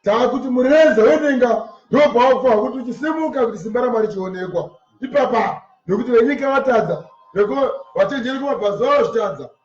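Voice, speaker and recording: A man's voice: very high-pitched, lively intonation, fast talker. A man with a Zimbabwean Shona accent preaching a sermon, emphatic and repeating his phrases for effect. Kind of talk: sermon expounding scripture line by line. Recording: distant mic in echoey, muffled room.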